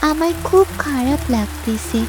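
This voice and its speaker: A woman's voice: high-pitched, animated, measured pace, background noise. A woman playing a sick village girl in a melodramatic cartoon, speaking in a weak, plaintive, suffering voice. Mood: suffering, pleading.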